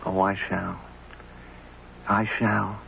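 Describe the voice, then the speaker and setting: An actor playing an earnest, gentle writer who narrates a 1970s radio drama in a measured, reflective way. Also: male voice, sits low, level tone, vocal fry, heavily acted. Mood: sad, firm. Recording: muffled, noisy old radio recording.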